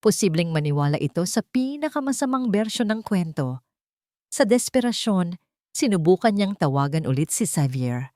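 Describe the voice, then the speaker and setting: Female narrator for scripted romantic drama; slightly low pitch, dry sound. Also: very animated, moderate pace, dark tone, no echo. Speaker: story narrator.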